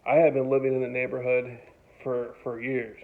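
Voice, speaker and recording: A man giving an unscripted, casual monologue in a rambling, halting way, often repeating his phrases. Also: mid-range voice, little pitch movement, vocal fry. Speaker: commentator on local news. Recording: phone-like, narrow tinny sound.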